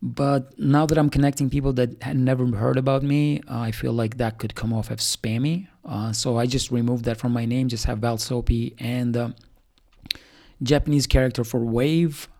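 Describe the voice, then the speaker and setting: A man's voice: mid-range voice, level tone, moderate pace, creaky, a little mellow. A man, a startup founder, giving a casual, rambling solo update full of fillers and restarts. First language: Albanian.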